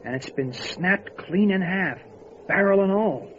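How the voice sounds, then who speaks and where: A man's voice: sits high, expressive, unhurried, a bit bright. A man playing a plain-spoken, sceptical sheriff's deputy and sidekick in an old-time radio drama. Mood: shaken, amazed. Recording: dull lo-fi radio drama recording, faint noise.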